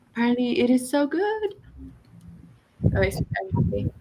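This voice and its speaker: A woman's voice: high-pitched, very animated, a little slow, warm tone, poor audio. A woman chatting casually and informally about books as she hosts a livestream with viewers. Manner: informal, conversational. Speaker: host of a reading livestream. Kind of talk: casual book chat with viewers.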